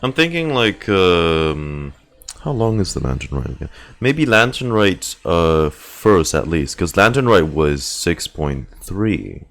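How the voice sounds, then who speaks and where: A man with a very deep voice talks casually on a gaming livestream. He speaks slowly and rambles as he thinks out loud, with the occasional offhand swear. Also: big pitch swings. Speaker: game streamer.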